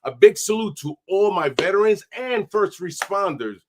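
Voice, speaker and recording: A man, hosting a podcast with energetic, streetwise talk sprinkled with Spanish. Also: high-pitched, moderate pace, noisy background. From New York. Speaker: podcast host. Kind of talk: casual interview chat.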